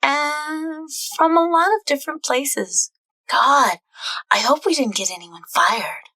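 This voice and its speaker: A woman acts out an awkward, earnest young librarian woman from a comic book. Her voice is high and bright, and she takes her time, halting between phrases. The sound is dry and close, with faint music. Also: no room sound, exaggerated voice, rough recording. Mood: confessional, uneasy.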